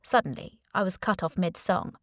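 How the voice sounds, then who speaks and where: A woman's voice: on the low side, normal speed, no room sound. Wry woman narrating fiction, dry sound. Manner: wry, expressive. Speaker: audiobook narrator. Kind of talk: scripted first-person fiction narration.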